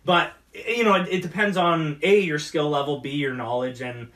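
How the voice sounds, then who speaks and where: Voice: medium pitch, fairly even intonation, normal speed, a bit bright. Rambling talk show host, distant mic. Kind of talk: casual chat.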